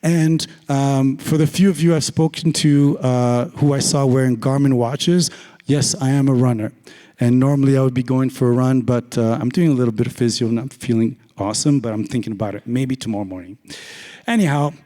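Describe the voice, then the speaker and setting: A hoarse man with a raspy voice giving a casual, joking conference talk, full of fillers. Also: mid-range voice, steady tone, fairly quick, warm tone. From Canada. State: hoarse, lost voice. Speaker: conference speaker. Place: large conference hall. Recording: long hall reverb.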